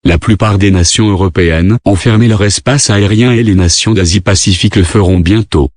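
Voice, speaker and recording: A man's voice: lowish voice, fairly quick, darkish tone, no echo, clean studio sound. A man reading a scripted government emergency broadcast in a formal, impersonal way as an announcer.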